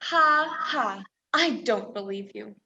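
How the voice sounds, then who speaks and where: A woman's voice: a little high, lively intonation, slow, bright, forceful tone, full character voice. A woman acting in a radio play as a prim, protesting young woman, a defiant and indignant reluctant captive. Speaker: student stage actor. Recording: dull, lo-fi virtual recording.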